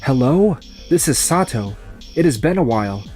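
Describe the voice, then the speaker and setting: A man playing a calm, resolute young surgeon turned farmer in a manga dub, speaking in a measured, firm way. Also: mid-range voice, over music, natural voice. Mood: calm, decisive.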